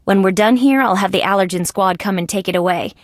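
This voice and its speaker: A woman's voice: mid-range voice, fast, dry recording, close to an everyday voice. A woman performs as a wisecracking insect police detective from a video game. She speaks in a middle register with a hard-boiled, sardonic edge. The sound is very dry, with no room around the voice. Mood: matter-of-fact. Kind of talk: scripted game dialogue, detective banter.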